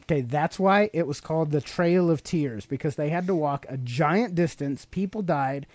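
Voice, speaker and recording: A man's voice: on the high side, moderate pace, darkish tone, no room sound. A middle-aged man co-hosting a podcast, explaining things casually to a child in a teacherly way with leading questions. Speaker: podcast co-host and father explaining to his child.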